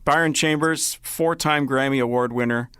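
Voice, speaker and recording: A man's voice: medium pitch, fairly even intonation, normal speed, bright, forceful tone, clear, resonant tone, dry recording. Man, mid-range voice, conversational radio host, very dry recording.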